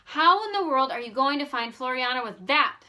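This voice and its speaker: A woman voicing a blunt, feisty teenage girl in an audiobook, forthright and quick to exclaim. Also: sits high, expressive, pushed and bright, pitched above the performer's own voice, exaggerated voice. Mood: surprised. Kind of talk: audiobook character voice.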